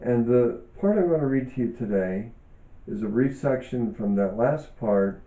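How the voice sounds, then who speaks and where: A man reading reflective self-help teaching aloud in a deliberate, measured way, pausing often between short phrases. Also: mid-range voice, lively intonation, mellow. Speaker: author and yoga teacher reading from own book. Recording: dull, echoey room recording.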